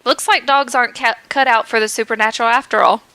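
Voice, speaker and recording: A woman's voice: fairly high pitch, steady tone, quick pace, bright, forceful tone, dry recording. A young woman co-hosting a podcast, reading fan fiction aloud and giggling often. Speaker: podcast co-host.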